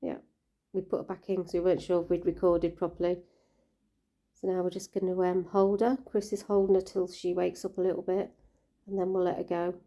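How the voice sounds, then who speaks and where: A woman with a croaky voice, speaking in a very dark, mellow tone. Her pitch stays flat and even as she chats gently about her fish, with the odd filler. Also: fairly quick. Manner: gentle, caring, chatty with fillers. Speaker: koi keeper vlogger. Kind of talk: casual vlog narration.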